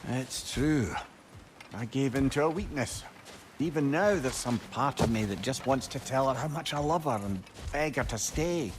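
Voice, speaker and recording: An actor with a Scottish accent playing a witty, lovelorn old sage in a video game, expressive and heartfelt. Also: male voice, medium pitch, moderate pace, a little hiss or hum, lightly acted. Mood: rueful. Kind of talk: dramatic video game voice acting.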